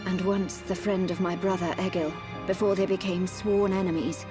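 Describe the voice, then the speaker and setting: A woman playing a solemn, composed guide of an ancient race in a video game, speaking in a measured, formal way. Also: lowish voice, level tone, lightly acted. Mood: grave. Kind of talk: scripted video game cutscene acting. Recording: music behind the voice.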